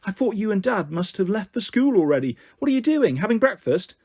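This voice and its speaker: A high, slightly husky voice from a man plays an excitable mother in a storybook. She gushes and frets in an effusive way, close and dry. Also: fairly quick, no room sound, full character voice. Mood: impatient. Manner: effusive, fretting. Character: excitable, gushing, fussing mother on the phone. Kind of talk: audiobook character dialogue.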